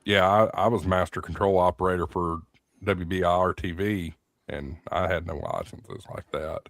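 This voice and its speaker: A male host leads a casual ham radio chat. His voice is very deep, and he explains things plainly and matter-of-factly. The sound is dry and close. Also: lively intonation, normal speed, gravelly, no echo.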